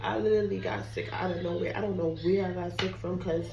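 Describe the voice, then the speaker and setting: Hoarse sick woman chatting in a kitchen. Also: on the low side, fairly even intonation, fast, lo-fi sound, background noise. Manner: chatty, apologetic, addresses viewers as "guys". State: sick, losing her voice, hoarse. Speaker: cooking vlogger. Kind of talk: casual chat to camera. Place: home kitchen. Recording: roomy echo, mic at a distance.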